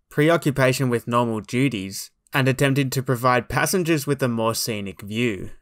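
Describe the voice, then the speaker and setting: A man narrates a documentary from a script in a mid-pitched voice. His delivery is measured and formal, explaining things plainly, recorded close and dry. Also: fairly bright tone, no room sound.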